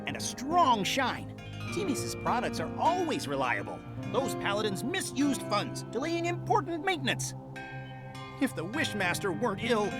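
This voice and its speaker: Fussy, wildly expressive sprite supervisor. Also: female voice, medium pitch. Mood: brisk, critical. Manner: fussy, matter-of-fact, emphatic. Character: brisk, fussy, dust-hating sprite supervisor in a fantasy world. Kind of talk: animated video game voice acting. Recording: prominent background music. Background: game music.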